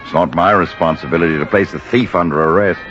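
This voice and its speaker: An actor playing an evasive, smooth-talking man in a 1950s radio drama. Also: deep voice, fast, a bit bright, faint music behind. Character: smooth evasive middle-aged man, host of a party. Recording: muffled old radio broadcast.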